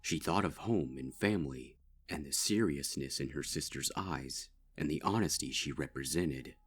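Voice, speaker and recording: A man giving grave, measured narration of a dark fan story. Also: rumbling low, husky. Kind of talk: dark fanfic audiobook narration.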